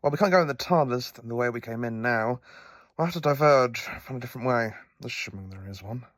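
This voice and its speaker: An actor playing a quirky, knowing professor who travels in time, explaining things wryly and haltingly in an audio drama. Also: male voice, medium pitch, a bit bright, dry recording, studio quality, close to an everyday voice. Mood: reassuring.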